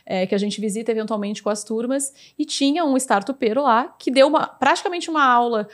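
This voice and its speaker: A woman hosts a relaxed podcast conversation with a fairly high voice. She talks quickly and chattily, dotting her sentences with little tags. The tone stays informal throughout. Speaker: host. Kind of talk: casual podcast chat.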